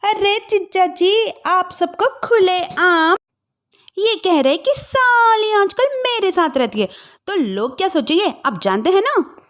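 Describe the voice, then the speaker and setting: A woman acts out a cheeky young sister-in-law from a family story cartoon. Her voice is extremely high and swings in pitch, and she speaks slowly and deliberately. She is bold, clever and assertive. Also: expressive, fairly bright tone, heavily acted. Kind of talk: animated Hindi family story dramatization.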